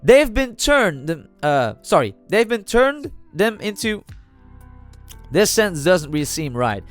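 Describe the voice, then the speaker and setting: A man's voice: sits high, big pitch swings, unhurried, soft background music. A man with a cold and a slightly nasal voice, talking casually over a card video and thinking aloud with many fillers and restarts. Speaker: trading card channel host.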